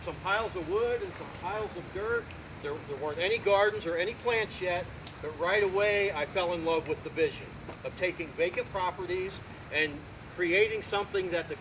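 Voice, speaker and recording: A loud, animated man in his fifties stands outdoors giving a volunteer's speech at a garden ceremony. His voice sits high for a man. Traffic and street sounds run clearly behind him. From Ohio. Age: 50s. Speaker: community volunteer. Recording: outdoor recording with traffic noise.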